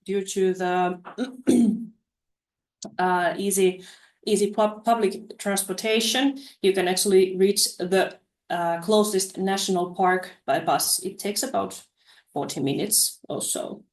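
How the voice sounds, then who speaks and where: A woman walks through her slides in a webinar, speaking in a hesitant way with frequent fillers and restarts. Her voice sits at a middle pitch, and the sound carries a noticeable room echo. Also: fairly even intonation, slow pace, darkish tone. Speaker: study promotion presenter.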